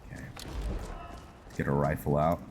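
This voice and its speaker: Low-voiced man musing through gameplay. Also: level tone, noisy background. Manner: unhurried, halting, thinking aloud. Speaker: game streamer narrating his roleplay playthrough. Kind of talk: casual gameplay commentary. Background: game music.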